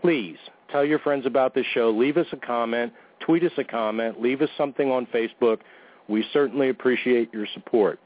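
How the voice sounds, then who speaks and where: A man's voice: medium pitch, a little fast. A man hosting a wrestling talk show, joking around and reading plugs in a goofy, self-deprecating way. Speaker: podcast host. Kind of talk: casual radio-show banter and announcements. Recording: tinny phone-like line.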